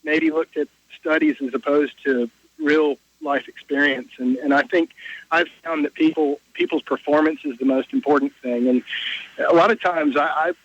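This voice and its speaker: Male call-in listener, casual and hesitant, a bit high-pitched, lo-fi line. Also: fairly even intonation, normal speed, dry recording. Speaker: radio show caller. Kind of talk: casual opinion on call-in radio.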